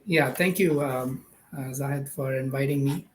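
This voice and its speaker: A man in his thirties with a Pakistani accent, speaking as a guest in an interview. His pitch is middling. He talks casually and hesitantly, often doubling back to reword what he has begun. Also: fairly even intonation, normal speed, a little hiss or hum. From Karachi, Pakistan. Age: 30s. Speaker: podcast guest, product manager.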